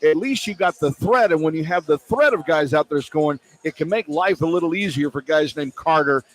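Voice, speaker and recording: Guy calling sports on the radio, kinda higher voice, bit of music behind. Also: a little fast. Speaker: radio play-by-play announcer. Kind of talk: sports commentary and post-game wrap-up.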